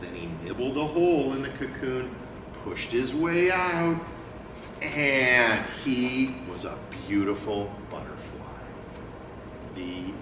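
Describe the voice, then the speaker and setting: A man presenting a picture book read-aloud and talking informally as an educator, with an expressive delivery. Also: mid-range voice, slow pace. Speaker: educator and book company owner reading aloud. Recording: echoey room, distant mic, clear background noise.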